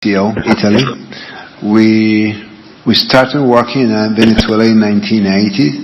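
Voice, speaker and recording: A man giving halting, formal unscripted remarks as a company executive. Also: on the low side, fairly even intonation. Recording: muffled, long hall reverb, faint noise.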